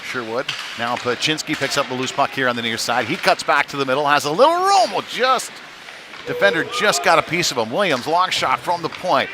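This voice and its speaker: A man giving live play-by-play commentary on youth ice hockey, conversational and halting, with frequent filled pauses. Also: on the high side, sing-song. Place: chilly indoor ice rink. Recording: noisy rink ambience, clattering background.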